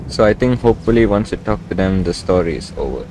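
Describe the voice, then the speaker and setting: A man streaming a game, making relaxed, chatty asides to his viewers. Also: low voice, fast talker, background noise. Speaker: gaming streamer. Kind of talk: casual live gameplay commentary. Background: game music.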